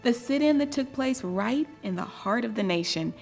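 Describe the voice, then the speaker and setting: A woman reading a children's story aloud in a deliberate, expressive and dramatic storytelling manner. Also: fairly high pitch, creaky, soft background music. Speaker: storybook reader.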